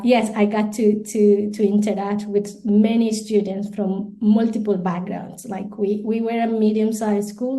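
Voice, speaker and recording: Reflective woman with a mellow voice. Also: medium pitch. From Colombia. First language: Spanish. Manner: thoughtful, many restarts and repeated words, light laughs. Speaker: guest, international educator. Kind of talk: conversational podcast interview.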